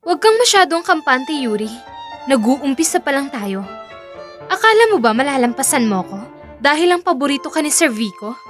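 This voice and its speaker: A woman voicing a quietly menacing, taunting young female rival in an audio drama. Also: high voice, very animated, normal speed, faint music behind. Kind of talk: audio drama character acting.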